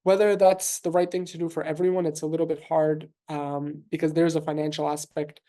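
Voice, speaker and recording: A man in his thirties from New York City whose first language is Russian, a physician guest answering interview questions in a thoughtful, measured way with frequent fillers and restarts. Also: fairly high pitch, flat delivery, warm tone.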